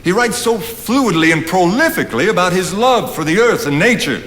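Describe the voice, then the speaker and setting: An actor playing a learned, courtly friend of a writer in a period stage play, speaking in formal, literary phrases. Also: male voice, high voice, expressive, moderate pace, a bit bright. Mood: musing. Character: learned, courtly married man, Thoreau's fond, teasing older friend. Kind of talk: theatrical period stage dialogue. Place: on stage in a theatre. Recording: noisy stage recording in reverberant theatre.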